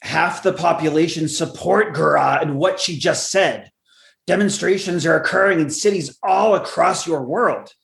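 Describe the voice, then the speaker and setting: A man's voice: on the high side, moderate pace, vocal fry, a bit bright, reverberant. A man playing an earnest, loyal lieutenant in a sci-fi radio drama, hesitant and deferential, often stammering. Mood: urgent. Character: earnest, anxious, loyal young male lieutenant.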